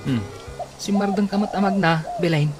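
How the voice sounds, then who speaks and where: An actor playing a cocky, boastful young boyfriend in an Ilocano radio comedy drama. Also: male voice, high-pitched, moderate pace, over loud music, close to an everyday voice. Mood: boastful, casual. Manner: boastful, exclaiming fight cries.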